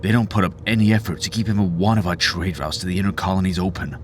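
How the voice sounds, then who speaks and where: A man's voice: low voice, steady tone, fast, a little hiss or hum, lightly acted. An actor playing a stern, bitter middle-aged father in an audio drama, brooding, clipped and authoritarian. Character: stern, embittered, domineering father and political activist. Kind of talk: scripted audio drama acting.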